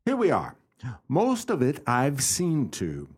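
Man acting curious secretary, lively intonation. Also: mid-range voice, slow pace, breathy edge, exaggerated voice. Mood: polite, businesslike. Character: attentive male private secretary, curious and sceptical. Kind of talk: radio drama acting.

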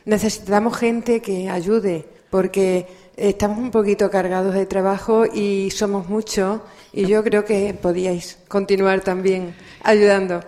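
A woman's voice: medium pitch, flat delivery, unhurried, hardly any pauses, dark tone. A woman from the organizers steadily asking attendees to keep helping. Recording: hall reverb with faint music.

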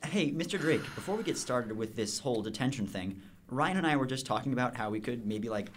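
An actor playing a cheeky, eager teenage prankster in a radio drama, rambling breezily in casual slang. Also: male voice, mid-range voice, lively intonation, fairly quick, a little hiss or hum, lightly acted.

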